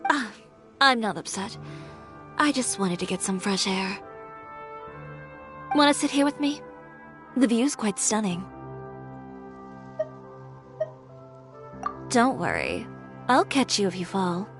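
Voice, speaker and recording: A woman's voice: mid-range voice, sing-song, unhurried, pauses often, music in the background. A woman playing a proud, assertive young fashion designer in a video game, speaking with composed, dry self-assurance. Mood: composed, reassuring. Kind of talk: video game character acting.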